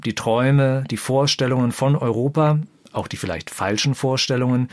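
This is a man hosting an interview in German, with a voice at a middle pitch. He explains background facts in a measured, informative way before he turns to his guest with questions. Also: a little mellow. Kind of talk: interview conversation.

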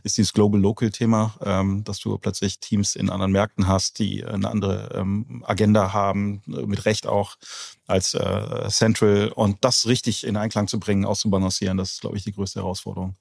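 A man's voice: on the low side, flat delivery, moderate pace, gravelly, darkish tone. A middle-aged man answering as an interview guest, casual and reflective, feeling his way through phrases. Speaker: interview guest, company founder.

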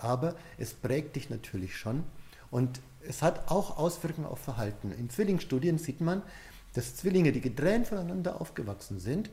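Mellow-voiced man giving a coaching talk. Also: on the high side. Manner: conversational, addresses the listener directly with rhetorical questions. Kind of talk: explanatory coaching talk, informal and direct address.

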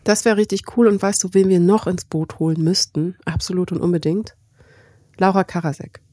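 A woman's voice: on the low side, steady tone, normal speed, dark tone, dry recording, clean studio sound. A woman co-hosting a podcast, talking in a casual, conversational way. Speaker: podcast co-host.

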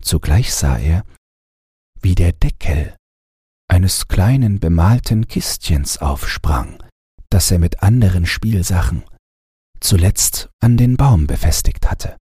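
A man narrating a fairy tale as an audiobook reader, with measured, expressive, dramatic delivery. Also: low-pitched, husky, no room sound, studio quality.

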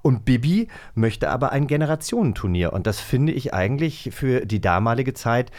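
A man's voice: medium pitch, big pitch swings, moderate pace, darkish tone. A man with a mid-range voice co-hosts a magazine show. He talks casually and chattily, with a self-deprecating streak. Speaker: podcast co-host.

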